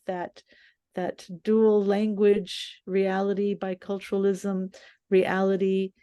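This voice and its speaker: Slow, thoughtful older woman from the border. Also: medium pitch, mellow, clear, resonant tone, no echo. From US-Mexico border region. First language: bilingual English and Spanish. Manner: thoughtful, measured, restarts often. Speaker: columnist. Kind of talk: reflective conversation.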